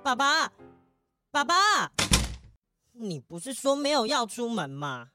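Earnest high-voiced little schoolboy. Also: female voice, big pitch swings, slow pace, music in the background. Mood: earnest, pleading. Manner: childlike, earnest. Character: earnest, eager young schoolboy son. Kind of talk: children's audio drama acting.

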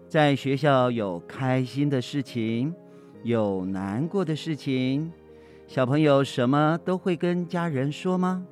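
A middle-aged man presents a children's programme from a script. He speaks slowly at a medium pitch, with light music underneath. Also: darkish tone. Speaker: programme host giving the introduction.

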